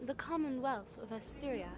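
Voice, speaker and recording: Young female analyst played by an actress, lightly high-pitched, courteous and explanatory, radio drama with prominent music underneath. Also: normal speed, lightly acted. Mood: earnest, informative. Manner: polite, explanatory. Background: loud music.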